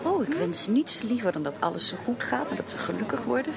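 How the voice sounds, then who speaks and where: A woman's voice: a little high, very animated, fast talker, creaky. An actress playing a frank, caring young upper-class woman in a 1980s Dutch radio drama. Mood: resentful, frank. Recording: loud music and chatter behind.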